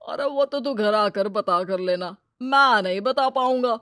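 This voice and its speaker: A woman voicing a greedy, crafty male village moneylender in a Hindi cartoon moral story, brazen and given to drawn-out exclamations. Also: medium pitch, a bit bright, no room sound, studio quality, natural voice. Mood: sly.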